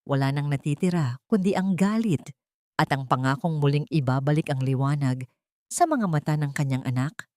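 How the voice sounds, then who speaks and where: A woman's voice: low-pitched, a little mellow, no echo, clean studio sound. A woman narrating a scripted drama story in a solemn, melodramatic manner.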